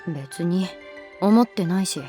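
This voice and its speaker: A woman's voice: fairly low pitch, takes it slowly, warm tone, faint music behind. A woman voicing a wounded, guarded runaway middle-school girl in a Japanese voice drama, speaking hesitantly and tersely. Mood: shy, reserved. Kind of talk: Japanese voice drama acting, with inner monologue.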